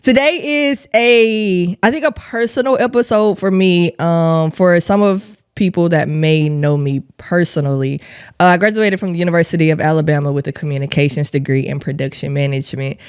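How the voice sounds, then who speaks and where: A woman hosting a podcast with a hoarse, worn-out voice, chatting in an upbeat, giggly, slangy way. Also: on the low side, moderate pace, a little mellow, dry recording, clean studio sound. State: hoarse, losing her voice. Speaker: podcast host. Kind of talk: casual interview chat.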